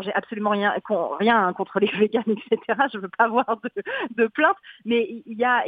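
A woman author, chatting in a radio interview, full of fillers and quick laughs. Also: on the high side, dry recording. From French-Canadian. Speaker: author guest. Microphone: phone line. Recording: tinny phone line.

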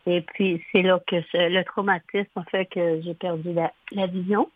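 A woman's voice: fairly low pitch, little pitch movement. Older woman on a tinny phone line. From Ottawa, Canada. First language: English. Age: 60s. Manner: slow, halting, clears throat often. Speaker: guest.

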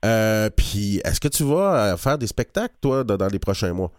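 A man hosting a radio interview, asking friendly, relaxed questions. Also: lowish voice, normal speed, no room sound, clean studio sound. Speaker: radio host.

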